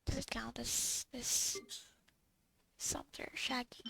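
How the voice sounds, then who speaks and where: Slow-talking woman gamer with creaky voice. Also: fairly high pitch, little pitch movement, sharp tone, in a whisper. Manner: muttering to herself, fragmented, trailing off. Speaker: gamer playing and reacting. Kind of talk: casual gameplay commentary. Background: video game music.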